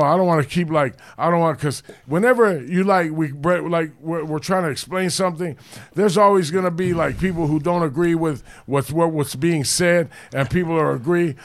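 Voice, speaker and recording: Loud deep-voiced blunt man. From Los Angeles. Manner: loud, blunt street talk with heavy swearing. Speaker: podcast co-host. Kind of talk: casual storytelling chat.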